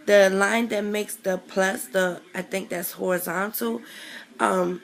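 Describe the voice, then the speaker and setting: A young woman with a raspy voice from a chest cold, chatting casually to her camera. She talks slowly and rambles, full of ums and small corrections as she goes. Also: medium pitch, fairly bright tone. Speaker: vlogger.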